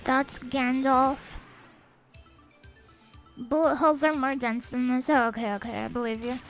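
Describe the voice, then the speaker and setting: A young woman chatting casually on a game stream. She is a little sniffly, speaks softly, and reads out chat messages. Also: sits high, flat delivery, measured pace. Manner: soft-spoken, reads chat aloud. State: sniffly, a bit stuffed up. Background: faint game music.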